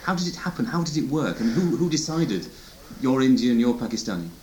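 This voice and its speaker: A man's voice: medium pitch, expressive, brisk, a little mellow, slightly rough, low-quality recording. A man interviewing an older officer, asking probing follow-up questions.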